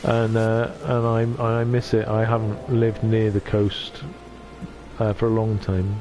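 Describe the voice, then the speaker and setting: A man from North Wales streaming from his sofa at home. He chats gently with his viewers and often stops and restarts. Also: on the low side, completely flat delivery, measured pace, music in the background. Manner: gentle, hesitant, with many restarts. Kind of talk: casual chat reading out viewer comments.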